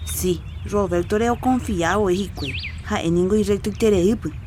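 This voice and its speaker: A supportive rural Paraguayan mother with a medium-pitched voice , acted by a woman. The scene is radio soap opera dialogue with faint outdoor noise and birds. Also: normal speed, close to an everyday voice.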